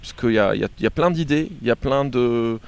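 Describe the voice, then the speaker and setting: A man being interviewed as a band singer, chatting casually and haltingly, often repeating words as he goes. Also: mid-range voice, creaky, clear, resonant tone. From born in Algeria.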